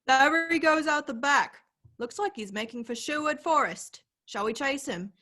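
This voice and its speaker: An actress playing an eager guard serving a sheriff in a radio play. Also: female voice, sits high, moderate pace, sharp tone. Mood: alert.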